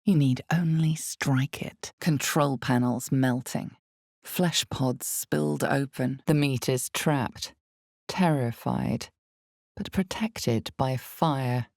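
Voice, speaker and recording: An all-knowing fantasy game narrator with a very low female voice thick with creaky gravel. She tells the story slowly and deliberately, with grave, ominous drama, recorded very dry and close. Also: darkish tone, no echo, heavily acted. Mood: dramatic, grave, then grave, intense.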